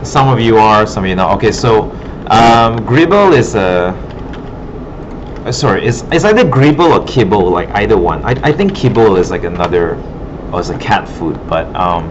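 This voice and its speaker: Low-voiced man, muffled noisy classroom recording. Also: animated, normal speed. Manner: hesitant, thinking aloud. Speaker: digital art instructor. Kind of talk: informal classroom demonstration. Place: indoor classroom.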